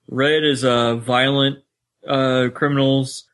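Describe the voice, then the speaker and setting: A man's voice: mid-range voice, little pitch movement, slow, a bit bright. Man co-hosting a casual podcast. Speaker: podcast co-host. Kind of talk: casual discussion of an audio drama.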